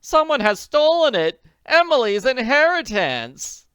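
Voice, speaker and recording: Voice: mid-range voice, animated, moderate pace, sharp tone, clear, resonant tone, no echo, pitched above the performer's own voice. A reader voicing a fretful, wailing lady. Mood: distraught. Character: anxious older woman dining out.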